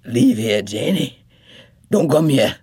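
A reader playing a stubborn dying husband, resentful, husky, slow. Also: mid-range voice, big pitch swings, darkish tone, no room sound, studio quality, exaggerated voice. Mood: bitter. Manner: gruff, resentful.

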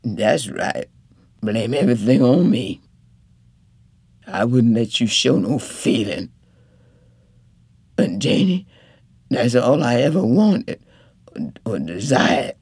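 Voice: mid-range voice, sing-song, slow pace, pauses often, a little mellow, dry recording, heavily acted. A reader voicing a bitter dying husband. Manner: gruff, resentful.

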